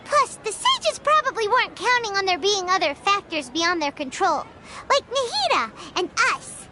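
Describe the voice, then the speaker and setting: A woman's voice: very high-pitched, big pitch swings, heavy background noise. An actress voicing a small, chirpy and pushy girl companion in a video game. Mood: curious. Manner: chirpy, pushy, quick to comment. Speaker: game character. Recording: game audio with background music.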